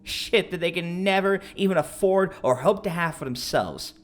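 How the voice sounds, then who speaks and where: A man's voice: high-pitched, moderate pace, faint music behind, natural voice. An actor playing a bitter, boastful young man, an internet misogynist, in a dramatic, self-pitying audio drama style. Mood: bitter ranting.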